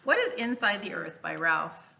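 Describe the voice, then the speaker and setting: A woman reading a children's picture book aloud like a teacher, expressively, pausing to explain words. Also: on the low side, big pitch swings, gravelly, bright, forceful tone.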